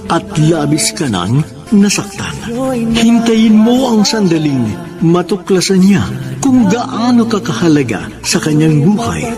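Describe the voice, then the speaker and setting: A man narrating a radio love drama as its solemn, sentimental host, in a scripted style. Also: sits high. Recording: loud music under the voice.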